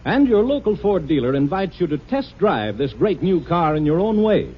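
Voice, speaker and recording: A man announcing a 1950s radio commercial with emphatic, polished salesmanship and a husky voice. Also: fairly high pitch, big pitch swings, normal speed. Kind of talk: 1950s radio advertising read. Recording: muffled vintage radio recording.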